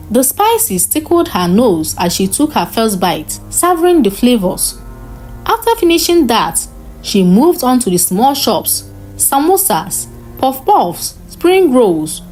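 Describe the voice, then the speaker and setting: A woman with a fairly high voice tells a scripted folktale. Her intonation swings in a lively, dramatic way. Faint music plays behind her. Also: unhurried, slightly rough, poor audio. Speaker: storyteller narrator.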